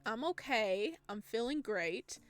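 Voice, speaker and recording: Girl gamer reading a visual novel out loud, chatty n expressive, slow pace, dry mic, soft music behind. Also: fairly high pitch, no echo. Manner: chatty, expressive asides between read lines. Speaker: video game streamer, let's player. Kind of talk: let's play, reading game text aloud with excited reactions. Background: game music.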